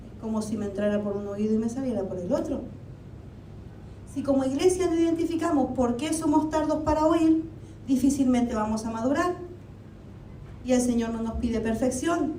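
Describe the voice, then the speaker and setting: Woman preaching in an echoey hall. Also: dark tone. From Argentina. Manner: conversational preaching with rhetorical questions. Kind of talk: sermon. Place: church hall. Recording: echoey hall, mic at a distance, faint rumble.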